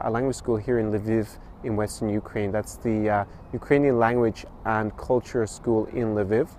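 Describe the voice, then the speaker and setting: A man's voice: mid-range voice, a little mellow. Hesitant man vlogging outdoors by traffic. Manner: hesitant, many fillers and restarts. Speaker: travel and language vlogger. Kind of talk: casual spoken review to camera. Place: outdoors in a city street by a statue.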